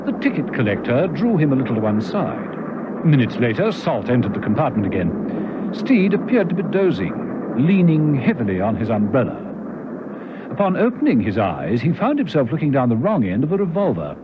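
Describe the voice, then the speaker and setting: A man narrates a radio thriller in a wry, dramatic storytelling style. His medium-pitched voice moves expressively, on a dull recording with clear background noise. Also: big pitch swings, normal speed. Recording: noisy, muffled old radio drama recording.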